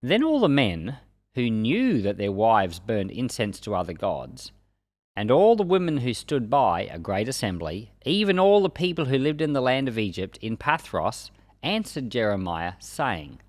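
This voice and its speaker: Man reading scripture with animated, swinging intonation. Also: on the low side, dry recording. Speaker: Bible reader and teacher.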